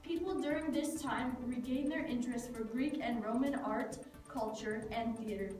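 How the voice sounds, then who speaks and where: A woman with a high voice reads scripted narration for a puppet show. The room rings heavily with echo and she is slightly far from the mic, with faint music behind. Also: normal speed, darkish tone.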